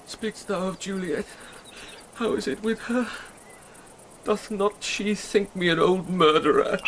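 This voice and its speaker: A man's voice: high voice, gravelly, poor audio. A man playing a distraught, impetuous young banished lover in classical Shakespearean radio drama, declaiming with anguish. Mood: desperate, grieving. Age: young adult. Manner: declamatory, anguished, bursting into shouts and sobs. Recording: faint hiss, 1990s radio drama CD.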